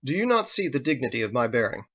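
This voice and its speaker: A man's voice: medium pitch, big pitch swings, quick pace, a bit bright, husky, muffled recording. A man voicing a pompous, smugly confident lawman in armor for an audiobook, with formal, haughty diction. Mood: indignant, proud.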